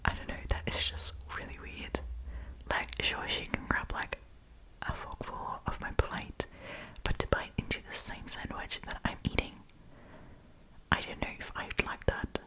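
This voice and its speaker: A woman's voice: measured pace, sharp tone, poor audio. Woman, whispered voice, ASMR storytime host, casual, chatty, giggly.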